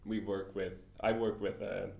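A man's voice: low-pitched, level tone, unhurried, vocal fry, a little mellow, roomy sound. A man introducing himself on a panel, speaking hesitantly.

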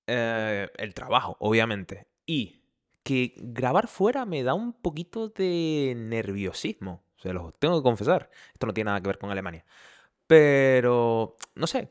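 A man's voice: medium pitch, clean tone. A young man from the Canary Islands with a Canarian Spanish accent, hosting a casual podcast from home with chatty, joking asides. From Canary Islands, Spain. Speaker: podcast host. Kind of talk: casual chat. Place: at home, indoors.

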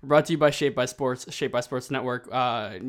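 A man's voice: medium pitch, a little fast. A man co-hosting a podcast, chatting casually and joking at his own expense. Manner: joking, self-deprecating, often restarts. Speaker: podcast co-host. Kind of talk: casual chat.

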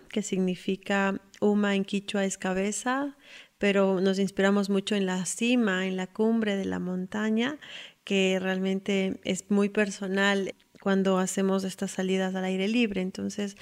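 A woman's voice: mid-range voice, clean tone. Reflective woman with a Quito accent. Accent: Ecuadorian Spanish, Quito. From Ecuador. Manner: reflective, unhurried, with hesitant fillers. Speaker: outdoor guide and tour operator founder. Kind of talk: personal self-introduction.

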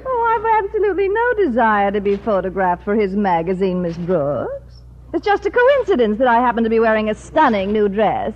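An actress playing a vain, haughty, well-to-do teacher in an old radio comedy, sugary, affected and cutting. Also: female voice, medium pitch, very animated, fairly quick. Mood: sweetly catty. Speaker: supporting actress in a radio sitcom. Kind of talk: 1940s-50s American radio comedy acting. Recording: muffled old-time radio recording.

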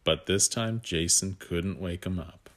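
Deep, unhurried man reading a picture book. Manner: gentle guiding asides to the child listener. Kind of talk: read-aloud storytelling for young children.

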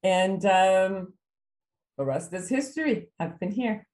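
A woman's voice: medium pitch, expressive, slow, a little mellow. Iranian-born woman guest in an echoey room. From Iran. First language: Persian. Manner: thoughtful, explanatory, many fillers and restarts. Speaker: psychotherapist guest. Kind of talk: podcast interview.

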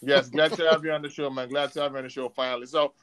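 A man hosting a podcast in casual banter, playful, animated and slangy as he interviews a guest. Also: mid-range voice, fast talker, crowd noise. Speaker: podcast host.

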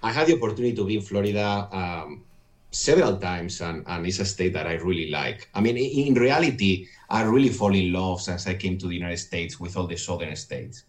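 A man with a Spanish accent speaking English as a guest, his voice low for a man. He is courteous and often pauses with fillers. His delivery comes across as warm and earnest. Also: animated. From Navarre, Spain. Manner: polite, hesitant, full of fillers. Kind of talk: casual interview.

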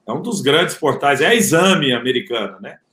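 A Brazilian man with a Rio de Janeiro accent, telling an entrepreneur's story in a relaxed, slangy way with lots of fillers. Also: mid-range voice, moderate pace. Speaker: entrepreneur guest. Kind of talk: casual interview storytelling. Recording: echoey room.

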